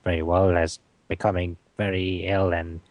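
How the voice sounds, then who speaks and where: A man with a very low, flat voice recounting a story at an unhurried pace. He talks plainly and keeps his tone level throughout. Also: dry recording. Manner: slow, matter-of-fact storytelling. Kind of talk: casual group chat.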